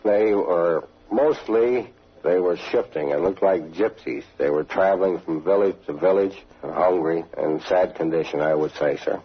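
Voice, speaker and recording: A man's voice: low voice, measured pace, breathy edge. An American man, a soldier, giving an eyewitness account in a recorded interview. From United States. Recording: dull old radio interview recording.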